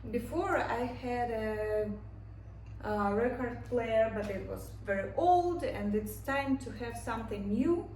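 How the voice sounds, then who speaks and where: Casting: female vlogger, non-native English, higher-pitched, halting short phrases, unhurried product review delivery, roomy sound. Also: a little mellow. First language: not English. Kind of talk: casual unboxing and product review vlog. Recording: echoey room, distant mic, faint noise.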